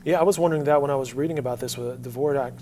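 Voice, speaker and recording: A man's voice: medium pitch, racing, dark tone. A man hosting an interview in a conversational broadcast style, prompting guests with questions. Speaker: interview host. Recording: faint background noise with hum.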